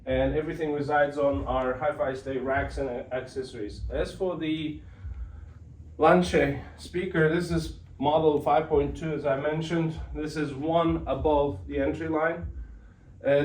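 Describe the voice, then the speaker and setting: A man giving an informal product presentation as an exhibitor, with a halting delivery and frequent throat clearing, recorded in an indoor demo room. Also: a little high, little pitch movement, darkish tone. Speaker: exhibitor presenting an audio system. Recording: roomy, distant mic, faint background noise.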